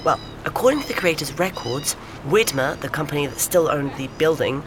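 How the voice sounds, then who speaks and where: An eager, theorizing woman sleuth in an audio drama. She talks in a natural, conversational way, animated and insistent. Clear ambient noise runs behind her voice. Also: deep voice, brisk. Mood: intrigued.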